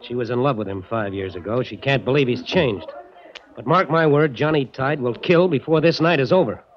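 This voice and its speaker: An actor playing a polished nightclub owner in a 1940s radio drama, courteous but ominous. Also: male voice, mid-range voice, a little fast, lightly acted. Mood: grave. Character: polished male nightclub owner, grave and warning. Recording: dull old radio recording with faint hiss.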